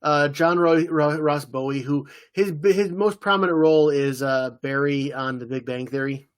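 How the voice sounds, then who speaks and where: A man co-hosts a casual podcast and recaps a TV episode at an easy pace. His voice sits a little high, and he drops in loose, playful impressions. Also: moderate pace. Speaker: podcast co-host. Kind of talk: casual chat about a TV episode.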